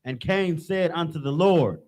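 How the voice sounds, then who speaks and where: A man reading scripture verses aloud in a steady, plain way. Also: fairly high pitch, measured pace. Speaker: scripture reader.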